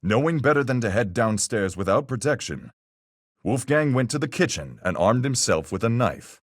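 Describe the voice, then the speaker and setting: An actor playing a gruff, level-headed sea captain with salty slang and a folksy, measured manner in a video game. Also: male voice, mid-range voice, big pitch swings, no echo, studio quality. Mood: grave, matter-of-fact. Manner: salty seafaring slang, measured deductive delivery. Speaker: voice actor in a fan-made mystery game. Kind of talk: video game character voice lines.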